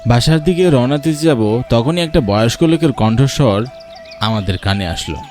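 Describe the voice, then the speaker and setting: A man's voice: medium pitch, animated, normal speed, darkish tone, faint music behind. A man reading scripted narration for a story.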